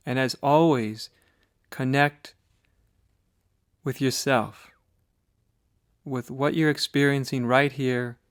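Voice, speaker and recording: An American man leading a guided meditation as a spiritual teacher, speaking in a measured, deliberate way with pauses between short phrases. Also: medium pitch, steady tone, clear, resonant tone, no room sound, clean studio sound. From United States.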